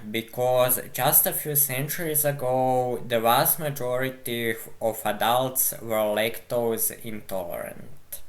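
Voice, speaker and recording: Man, slow deliberate delivery, blunt and argumentative, commenting on a video. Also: medium pitch. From Croatia. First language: Croatian. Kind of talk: responding to a video.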